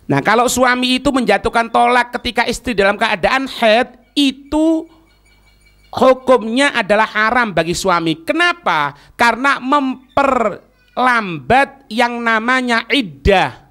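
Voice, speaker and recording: A man's voice: very high-pitched, expressive, unhurried, pushed and bright. A man with a Javanese accent giving an informal Islamic lecture, explaining in colloquial, didactic style and often checking that listeners follow. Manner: colloquial, acting out short example quotes. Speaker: Islamic preacher teaching religious law.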